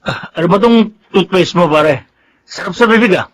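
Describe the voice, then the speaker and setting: An actor playing a brash, joking soldier with a cheeky, animated manner and a Filipino accent in a radio drama. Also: male voice, high-pitched, moderate pace, close to an everyday voice. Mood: teasing. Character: brash, joking soldier buddy, ten years in service.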